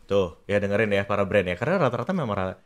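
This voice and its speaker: A man's voice: on the low side, lively intonation, quick pace, noisy background. A man hosting a casual interview, asking prompting questions and agreeably affirming the answers. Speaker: podcast host, interviewer.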